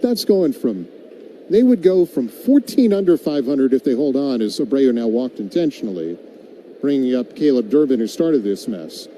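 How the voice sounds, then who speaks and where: A man calling baseball play-by-play on the radio and chatting in a wry, conversational way between pitches. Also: warm tone, slight background noise, rough recording. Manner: wry, tongue-in-cheek. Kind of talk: live play-by-play mixed with conversational commentary.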